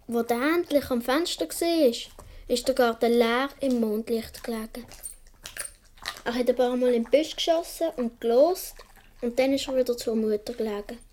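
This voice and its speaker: Female actor as dreamy little Swiss German boy narrating radio drama; high, soft-toned, wistful and unhurried. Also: close to an everyday voice. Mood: hushed, matter-of-fact. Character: dreamy young Swiss boy recalling his earliest childhood.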